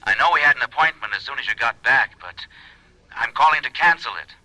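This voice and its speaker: A man's voice: mid-range voice, fairly quick, sharp tone, no room sound. An actor playing an apologetic man calling from an airport, heard through a telephone filter. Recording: tinny phone line.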